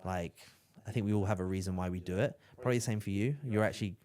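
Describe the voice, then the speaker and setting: A man's voice: lowish voice, fast, warm tone. A young man from the south of England, chatting on a podcast and trailing off with fillers and tag questions. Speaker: podcast host. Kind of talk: casual podcast chat.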